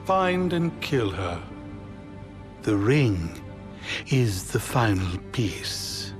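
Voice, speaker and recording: An actor playing an old, covetous, grandiose imperial ruler in a video game cutscene, with theatrical, imperious delivery. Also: male voice, lowish voice, very animated, very slow, music in the background, exaggerated voice.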